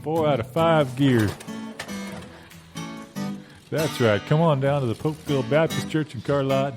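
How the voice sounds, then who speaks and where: A man's voice: unhurried, lightly acted. A man improvising a folksy preacher who deadpans hellfire and used car deals in a parody radio ad. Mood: righteous selling. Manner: homespun, deadpan mix of sermon and sales pitch. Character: folksy fire-and-brimstone Baptist preacher selling used cars. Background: guitar music.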